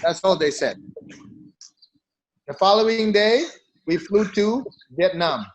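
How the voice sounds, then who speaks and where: Middle-aged filipino-born guy, ceo telling his story, kinda high voice, keeps saying you know, okay?. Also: expressive, slow, lo-fi sound. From Philippines. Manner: emphatic, repeats for stress, peppers talk with "you know" and "okay?". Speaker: company CEO. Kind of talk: personal story in an interview.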